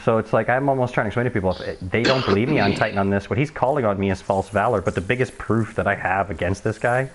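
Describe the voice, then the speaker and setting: A man with a high-pitched voice, streaming live and chatting over voice chat in a profane, emphatic way, often restarting his sentences. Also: fast talker, hardly any pauses. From Canada. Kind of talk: rambling voice chat.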